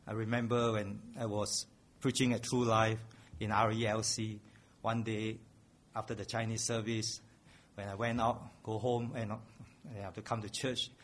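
A man's voice: lowish voice, level tone, measured pace. A man preaching a sermon, earnest, repeating a refrain and building to raised emphasis.

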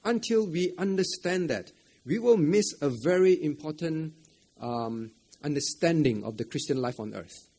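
A man's voice: fairly high pitch, normal speed, a little mellow. A man preaching an interactive sermon at a church camp, asking the audience questions, repeating phrases and often adding "right?". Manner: chuckles often between points. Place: church camp meeting room.

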